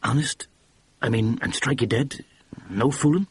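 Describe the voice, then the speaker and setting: Male reader doing a hushed, hissing woman who turns loudly hearty; husky, dry, sing-song. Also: mid-range voice, measured pace, dry recording. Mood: conspiratorial. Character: woman, brassy conspiratorial young housemate.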